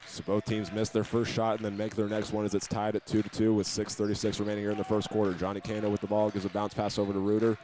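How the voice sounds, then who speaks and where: A man's voice: on the low side, monotone, fast talker, hardly any pauses, a little mellow, slight background noise. An American man calling a basketball game live as a play-by-play announcer, describing each move as it happens. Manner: running present-tense description of each move.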